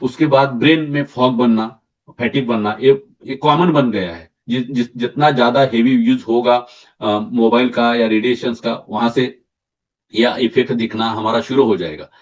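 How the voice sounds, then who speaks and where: Distant, hoarse man giving product training. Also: medium pitch, steady tone. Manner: explains slide by slide, asks "right?" often; mixes English terms into Hindi, halting restarts. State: slightly hoarse throat. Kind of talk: sales training presentation. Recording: distant mic, dull lo-fi sound.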